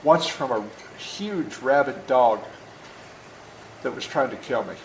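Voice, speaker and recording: A man in his seventies talking and reading aloud to children as an author, plain-spoken and deliberate, often checking in with questions. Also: mid-range voice, animated. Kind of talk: storytelling talk and read-aloud to children. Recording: echoey room with faint noise.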